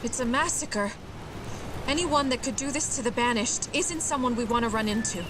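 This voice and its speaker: An actress voicing a sharp, intense female artificial intelligence in a video game, articulate and emotive. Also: a little high, moderate pace, background noise, close to an everyday voice. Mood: grim. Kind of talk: video game cutscene acting. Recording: loud music behind the voice.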